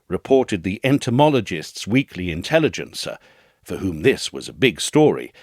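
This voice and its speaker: Low-voiced man narrating with lively intonation. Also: no room sound, clean studio sound. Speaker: narrator reading an audio essay. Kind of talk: scripted essay narration.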